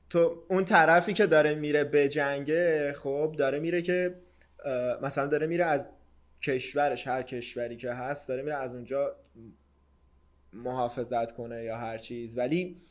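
A man, talking as a podcast host in casual, slangy speech sprinkled with English words. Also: medium pitch. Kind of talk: casual conversational podcast chat.

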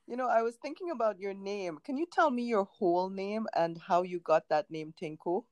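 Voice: medium pitch, normal speed, darkish tone, no room sound, dull audio. An interviewer hosting a casual conversation, asking questions and giving short affirming backchannels. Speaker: interviewer, podcast host.

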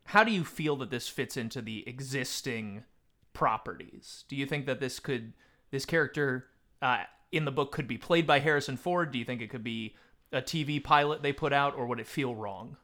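A man's voice: mid-range voice, normal speed, pushed and bright. A man hosting a casual podcast chat in a wry, articulate manner with a touch of mock pomposity. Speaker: podcast host.

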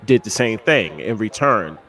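A man's voice: lowish voice, expressive, measured pace, sharp tone. Easygoing man co-hosting a chat. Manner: easygoing, asks questions. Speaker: podcast co-host. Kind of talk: casual chat.

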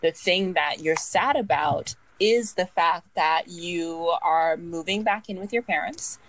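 A woman's voice: lowish voice, unhurried, fairly bright tone, poor audio. A woman in her twenties chatting casually as a podcast co-host, rambling and filling her sentences with "like". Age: 20s. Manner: rambling, leans heavily on "like". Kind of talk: casual chat.

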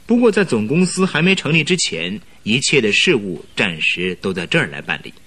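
An actor playing an energetic, domineering businessman in a radio drama, talking in a brisk, commanding, talkative way. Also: mid-range voice, slightly rough, heavily acted. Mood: brisk, welcoming.

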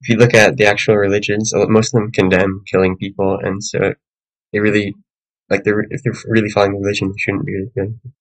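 A man in a student discussion makes a reasoned point in a level way. His voice is fairly low and stays flat in pitch. The sound is dry, with no room echo. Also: quick pace. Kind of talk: casual discussion.

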